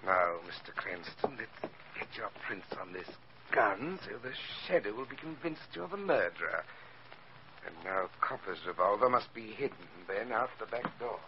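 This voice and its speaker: A smooth, commanding schemer from a 1940s radio crime play. His delivery swings widely and theatrically, through a dull, hissy old recording. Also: lowish voice, animated, normal speed. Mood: cold, urgent. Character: smooth scheming male villain feigning concern as a friend.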